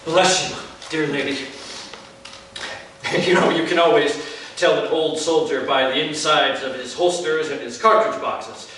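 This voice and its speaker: Male stage role: actor as frank, unheroic professional soldier, high-pitched and courteous, heard distantly in a very echoey theater. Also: steady tone, unhurried, fairly bright tone. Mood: grateful, wry. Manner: theatrical, courteous, matter-of-fact. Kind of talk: live stage acting of a period comedy. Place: indoor stage in an arts center, recorded from afar. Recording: distant, very echoey theater recording, faint noise.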